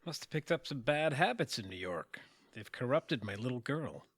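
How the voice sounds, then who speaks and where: A man's voice: medium pitch, expressive, normal speed, breathy edge, no room sound, clean studio sound. A man playing a pious, stubborn middle-aged father in an audio play, teasing and chiding in a sanctimonious way. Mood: teasing reproach. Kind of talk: audio theatre dialogue.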